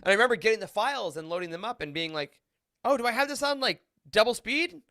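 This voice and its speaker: High bright guy on a podcast, animated and joking around, really casual. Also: quick pace. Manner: animated, jokey, emphatic. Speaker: podcast co-host. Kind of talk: casual chat.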